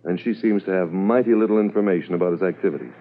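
Guy doing brisk police commissioner, really low voice, old radio drama, muffled narrow sound. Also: natural voice. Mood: businesslike, briefing. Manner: authoritative, methodical. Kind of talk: 1950s radio drama acting.

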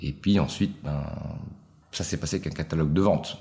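A man, a guest answering interview questions in a reflective way, often pausing to search for words. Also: a bass voice, warm tone. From Switzerland. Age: 40s. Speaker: guest, watch collector. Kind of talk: casual interview conversation.

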